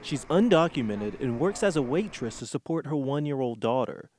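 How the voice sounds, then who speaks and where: A man's voice: medium pitch, sing-song, moderate pace, a little mellow. A man with an American accent reading scripted TV news as an anchor and reporter. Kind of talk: scripted broadcast news narration.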